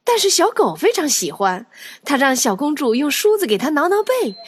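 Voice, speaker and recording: A woman narrating a children's audio story in an expressive, animated storytelling style. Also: high voice, moderate pace, no room sound.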